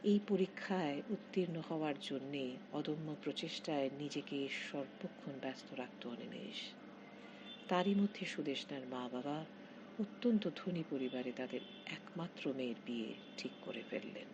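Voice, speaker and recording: A woman narrating a scripted literary short story in measured, expressive phrases with pauses. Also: low voice. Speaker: author reading own short story. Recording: dull lo-fi audio with heavy noise.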